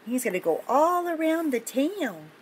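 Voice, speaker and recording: An older woman reading a picture book aloud to children, pausing for simple, encouraging chatty asides about the pictures. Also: high-pitched, very animated, slight background noise. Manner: encouraging, explains pictures simply to young listeners.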